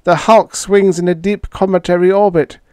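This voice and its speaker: A man voicing a frantic, hissing alien who raves in a shrill, high voice. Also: fairly even intonation, normal speed, mellow, smooth, no echo, clean studio sound, close to an everyday voice. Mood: agitated. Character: frantic hissing alien male, fearful and dramatic.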